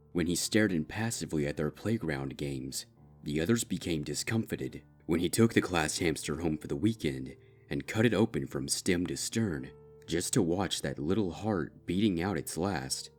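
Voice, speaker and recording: A man reading dark fiction as a scripted narrator, measured and with dramatic pauses. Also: a bass voice. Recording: music behind the voice.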